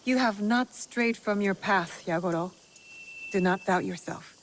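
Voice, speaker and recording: A woman's voice: mid-range voice, measured pace, slight background noise, natural voice, poor audio. A woman playing a loyal young warrior, supportive and dryly teasing, in a video game drama. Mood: reassuring, teasing.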